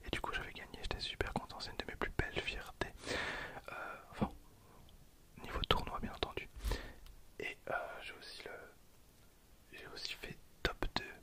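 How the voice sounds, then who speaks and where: A man in his twenties whispering for an ASMR video, soft and hesitant with frequent fillers, speaking close to a recorder. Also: moderate pace, pauses often. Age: 20s. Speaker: ASMR creator. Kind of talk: whispered ASMR card opening. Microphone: handheld recorder behind the camera.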